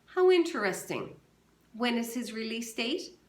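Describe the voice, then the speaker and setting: A woman voicing a sharp, sarcastic lawyer. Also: on the high side, big pitch swings, measured pace, reverberant, distant mic, pitched above the performer's own voice. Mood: pointed. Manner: sarcastic, pointed.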